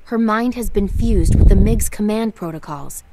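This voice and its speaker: A calm, analytical fantasy scientist, played by a woman in a video game. She speaks in a measured, reassuring way at a middle pitch, under loud clicky noise. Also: lightly acted. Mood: grave, analytical. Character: calm, analytical female asura scientist, small fantasy creature.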